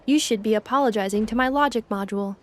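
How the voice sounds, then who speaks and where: Woman as a deadpan robot girl, lightly high voice. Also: quick pace, slight background noise, lightly acted. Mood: matter-of-fact. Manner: formal, deadpan, precise wording. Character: literal-minded, polite robot girl with dry humor. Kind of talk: video game voice acting. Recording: faint game music behind. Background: faint game music.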